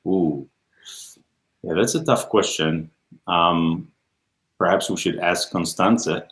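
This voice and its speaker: A man's voice: low voice, slow pace, a bit bright. A man from Israel with an Israeli accent, Hebrew his first language, speaking as a guest in a casual interview chat, hesitant with many ums and restarts. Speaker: music teacher and guest. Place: room, livestream. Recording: roomy echo.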